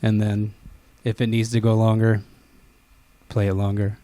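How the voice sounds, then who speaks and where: Mellow-voiced man in a dry recording. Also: fairly low pitch, monotone, a little slow, no room sound. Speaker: band member being interviewed. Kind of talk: casual interview.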